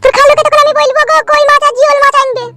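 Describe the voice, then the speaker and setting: A man voicing a bossy, nosy older village aunt in a comic cartoon, with a rural West Bengal accent, a pitched-up voice and a preachy, earthy manner. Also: level tone, rapid-fire, background noise. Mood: chatty, confiding. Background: music.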